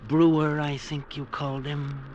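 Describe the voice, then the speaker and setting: An actor playing a sardonic, teasing painter on a resort island, dry and needling in a vintage radio drama style. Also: male voice, a little high, level tone, measured pace, muffled recording, loud music behind the voice, natural voice. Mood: sly. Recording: old radio recording with faint noise.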